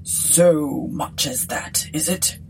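A woman voicing a cool, genteel male ghost pirate captain in a storybook reading, breathy and unruffled. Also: sits low, expressive, slow, a little hiss or hum, low-quality recording. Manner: gentlemanly, unruffled, leisurely.

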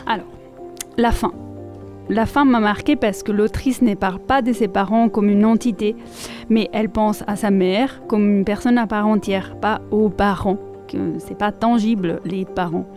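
A woman from Italy with an Italian accent, a podcast columnist reading a passage aloud and reflecting on it in a measured way. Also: mid-range voice, steady tone, music in the background.

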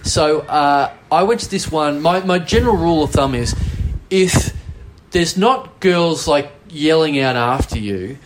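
A man's voice: fairly high pitch, expressive, measured pace, few pauses, background noise. A man with an Australian accent telling a long, rambling anecdote on a casual podcast, swearing freely and poking fun at himself. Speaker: podcast host. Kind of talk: casual storytelling chat.

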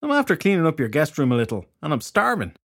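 A man's voice: a little high, wildly swooping pitch, fast, fairly bright tone, voice pushed up, full character voice. A man voicing a brusque, sardonic male fairy detective in an audiobook story, with a casual way of talking. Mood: offhand.

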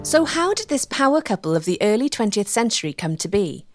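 A woman's voice: medium pitch, big pitch swings, a little fast, faint music behind. A woman delivering scripted documentary narration as the narrator.

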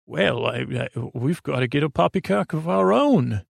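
A man putting on a fussy, creaky Gilded Age aristocrat. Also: fairly high pitch, animated, normal speed, no echo, studio quality, exaggerated voice. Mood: eager, envious.

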